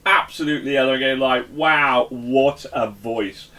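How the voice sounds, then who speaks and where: A man's voice: mid-range voice, measured pace, pushed and bright, distant mic. A man hosting a music show in a casual, chatty interview style, with a gushing, emphatic way of talking.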